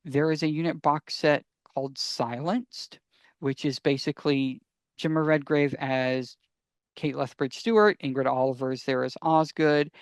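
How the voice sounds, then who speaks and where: A man hosting a casual fan podcast, talking enthusiastically and sometimes haltingly as he gives recommendations. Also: a little high, steady tone, smooth, no room sound, studio quality. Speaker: podcast host.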